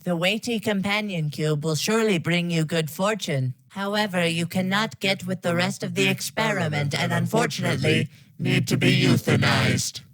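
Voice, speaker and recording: Synthetic female reader, lowish, dry sound, neutral, scripted text-to-speech. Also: moderate pace, no echo, poor audio.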